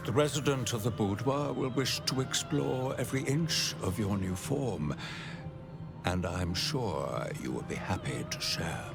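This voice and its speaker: A grovelling, lecherous servant of hell, low and gravelly, drawing out florid, courtly phrases. His delivery is theatrical and swoops with oily formality, as game music plays behind. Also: expressive. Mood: smooth, officious. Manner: fawning, florid, formal, drawn-out. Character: obsequious, lewd, broken-backed archivist of hell, male. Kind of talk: theatrical video game acting.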